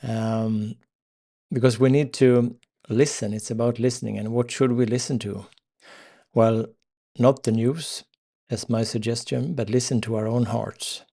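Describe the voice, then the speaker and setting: A man with a Swedish accent hosting a spiritual live stream, talking slowly and hesitantly with many fillers and pauses. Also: mid-range voice, fairly even intonation, creaky, dark tone. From Sweden. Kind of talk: unscripted spiritual talk.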